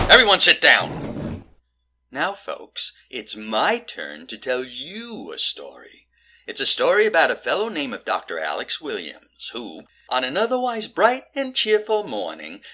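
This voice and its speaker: An actor playing a sarcastic, exasperated police detective in a comic audio drama, with wry, theatrical emphasis. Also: male voice, mid-range voice, pushed and bright, slightly rough, exaggerated voice.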